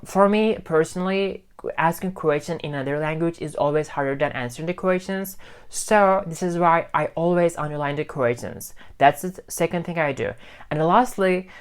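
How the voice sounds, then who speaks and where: An English speaker talks through his learning routine in a relaxed vlog. He restates each point two or three ways as he works out how to say it, and his tone stays friendly and unhurried. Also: fairly high pitch, clean tone. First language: Turkish. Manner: repeats and rephrases himself while explaining. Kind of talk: casual teaching vlog.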